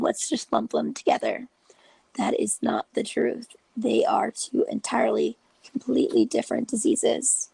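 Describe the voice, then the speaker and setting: A host with a cold and a raspy, breathy voice, explaining a topic slowly and patiently in a casual vlog, often dropping into half-whispers. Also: medium pitch, darkish tone, no echo, rough recording. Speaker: video host and patient support group leader.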